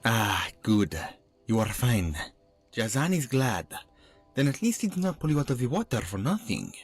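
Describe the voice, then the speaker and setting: A man voicing a gruff but kindly rescuer in a video game, with an animated manner. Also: mid-range voice, normal speed, soft background music. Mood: relieved, glad.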